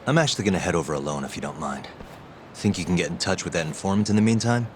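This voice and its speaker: Cool low-voiced male detective. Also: animated, racing, slight background noise. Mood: casual, businesslike. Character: cool, determined male lawyer turned private detective. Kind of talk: English video game voice acting.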